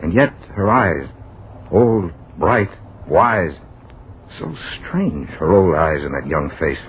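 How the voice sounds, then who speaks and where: An actor playing a bewildered, haunted young man in 1940s radio drama, telling his tale in halting, dramatic broken phrases and repeated words. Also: low-pitched, sing-song, heavily acted. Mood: haunted, awed. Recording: phone-like, noisy old 1940s radio recording.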